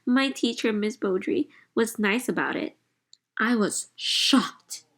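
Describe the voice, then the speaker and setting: A woman's voice: fairly high pitch, slow pace, exaggerated voice. Woman reading as an earnest little kindergarten girl. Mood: dismayed. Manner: earnest, expressive storybook telling.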